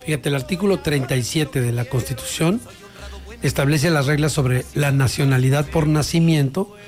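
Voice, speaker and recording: Higher-pitched Mexican man chatting on radio. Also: moderate pace, darkish tone, faint music behind. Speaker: radio talk show host. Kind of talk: casual news chat with co-hosts.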